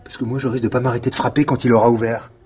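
A man's voice: medium pitch, level tone, rapid-fire, close to an everyday voice. An indignant, stubborn policeman played by a male actor in a comedy audio drama. His mid-range voice bites with sarcasm and bullies whoever is near. Slight noise sits in the recording. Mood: annoyed, scheming. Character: irate, sarcastic, bullying policeman, touchy and stubborn.

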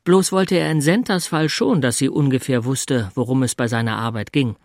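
Sober, slightly awkward man, acted, mid-pitched. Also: normal speed, dry recording, studio quality, lightly acted. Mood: reflective. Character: Thomas, sober, slightly awkward single male IT consultant. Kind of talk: literary audio drama dialogue and narration.

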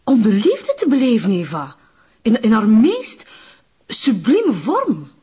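A fervent, husky Flemish sect devotee. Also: female voice, a little high, wildly swooping pitch, takes it slowly, dark tone, highs cut off, heavily acted. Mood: rapturous. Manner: exalted, indignant, hesitating over words. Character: fervently devoted, gullible female sect follower. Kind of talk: radio drama acting.

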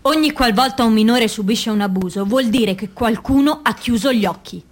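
A woman reading scripted documentary theatre narration in a measured, formal, solemn manner. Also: fairly high pitch. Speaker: reader in a radio theatre piece.

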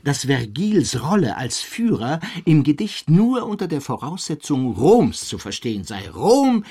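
A slightly husky male academic in a radio play. His pitch swings widely as he digresses like a professor, pedantic and animated. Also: unhurried, full character voice. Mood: animated, lecturing. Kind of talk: German radio drama, conversational storytelling.

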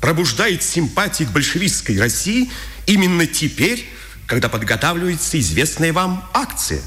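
An actor playing a formal, insinuating head waiter who is a secret agent, in a 1950s radio drama. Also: male voice, a little high, big pitch swings, pushed and bright. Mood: quietly threatening. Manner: formal, measured, veiled menace.

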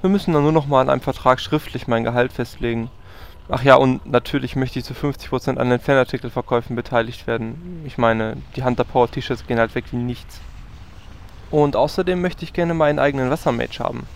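A smug, boastful hunter, as a man plays him makes demands in a gravelly voice. He talks down to everyone in this amateur audio drama parody. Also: medium pitch, brisk, lightly acted. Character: arrogant boastful male hunter player.

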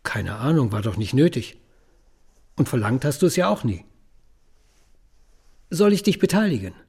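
A blustering, evasive boss in his middle years for a radio play. His voice is dark and husky, at a medium pitch, with an affronted edge. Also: sing-song, moderate pace, full character voice. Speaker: actor. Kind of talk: radio drama acting.

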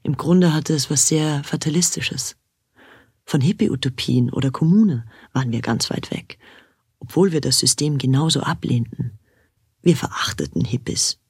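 An actor playing a reflective, introspective narrator in a radio play, looking back on a rebellious youth with a husky, breathy voice. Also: medium pitch, dry recording, studio quality.

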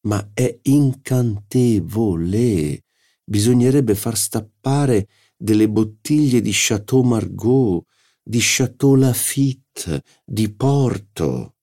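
A man's voice: fairly low pitch, lively intonation, takes it slowly, mellow, pitched above the performer's own voice. A man voicing an extravagant, whimsical male painter who talks in a languid, theatrical way. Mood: rapturous.

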